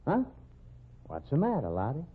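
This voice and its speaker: A man's voice: mid-range voice, wildly swooping pitch, normal speed, slightly rough, heavily acted. An actor playing a cocky young male rodeo cowboy in a 1940s radio drama, with an easy, confident drawl. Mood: defensive. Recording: tinny old radio broadcast.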